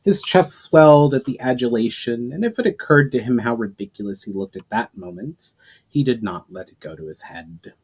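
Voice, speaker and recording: A man narrating a fantasy story as its author, with measured, emphatic phrasing that falls away at sentence ends. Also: medium pitch, lively intonation, a little mellow, breathy edge. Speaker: writer reading own story to channel viewers.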